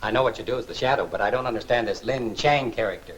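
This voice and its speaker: An actor playing a loyal, anxious driver and sidekick in a 1940s film serial, earnest and plain-spoken. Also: male voice, medium pitch, fast talker, natural voice, rough recording. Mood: worried. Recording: hissy 1940s film soundtrack.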